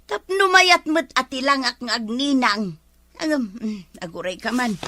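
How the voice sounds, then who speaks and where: Woman acting a brash, bright-voiced nagging village wife. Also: on the high side, expressive, measured pace, no echo, studio quality. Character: brash, vain village wife who borrows things. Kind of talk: Ilocano comedy drama dialogue.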